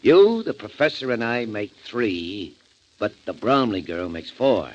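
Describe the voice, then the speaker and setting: Male gangster, 1940s radio crime show, scheming and cynical, lively inflection, muffled recording. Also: on the low side, measured pace. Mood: smug, scheming. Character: shrewd, cynical, slangy male kidnapper.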